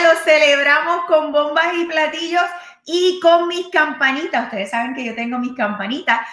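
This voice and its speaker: A woman's voice: sits high, bright, forceful tone, reverberant. A Puerto Rican woman hosting a live show as a real estate consultant, chatty, mixing in English and often checking in with "¿ok?". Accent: Puerto Rican Spanish. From Puerto Rico. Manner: chatty, switches into English, keeps asking "¿ok?". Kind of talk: live-streamed call-in style talk.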